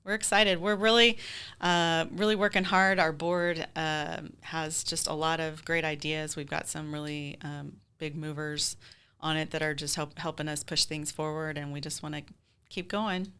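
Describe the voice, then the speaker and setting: A woman's voice: deep voice, steady tone, moderate pace, bright, forceful tone, smooth. A woman with an American accent speaking casually as a theater group's guest in an interview.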